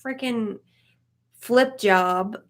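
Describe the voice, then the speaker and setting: Higher-voiced woman chatting bluntly. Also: very slow. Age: 30s. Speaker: co-host of a trade channel. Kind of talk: casual live Q&A chat.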